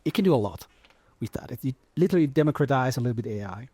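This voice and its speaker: A man's voice: mid-range voice, lively intonation, racing, mellow, husky, no room sound. A man speaking on a conference panel as a tech business director, emphatic and repetitive, often restarting his phrases. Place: conference stage.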